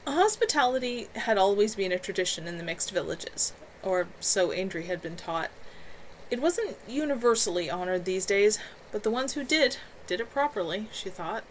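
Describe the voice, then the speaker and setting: A woman author reading her own novel aloud in a steady, measured way. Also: mid-range voice, a little hiss or hum, rough recording.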